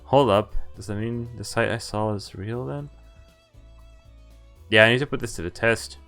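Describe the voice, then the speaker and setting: A man's voice: on the low side, moderate pace, gravelly, fairly bright tone, faint music behind. A man giving casual, amused and incredulous live commentary on a visual novel game, reading some of its text aloud. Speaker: game streamer.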